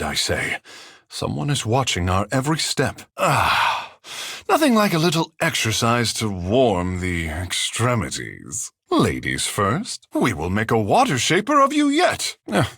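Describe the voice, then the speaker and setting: Vain, theatrical young charmer, husky and lively. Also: male voice, mid-range voice, no room sound, clean studio sound, full character voice. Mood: playful, charming. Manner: theatrical, playfully pompous, flowery formal phrasing. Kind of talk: video game character dialogue and barks.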